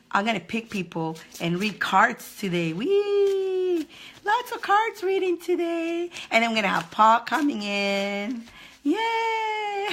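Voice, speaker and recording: A woman's voice: medium pitch, fairly bright tone, slight background noise. A woman hosting a casual live-stream card reading, chatty and upbeat. She greets viewers by name, laughs often and repeats phrases for emphasis.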